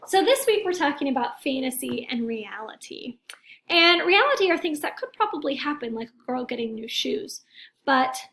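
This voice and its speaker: A woman reads aloud to children in a high voice with plenty of fry. She is expressive, like a teacher, and speaks straight to her young listeners. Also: normal speed, a little hiss or hum. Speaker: teacher reading a picture book aloud.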